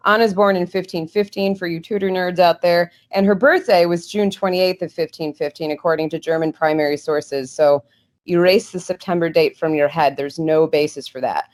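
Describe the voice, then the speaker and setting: A woman's voice: lowish voice, fairly even intonation, normal speed. A woman talking as a guest historian on a podcast, earnest and explanatory, with small restarts. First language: English.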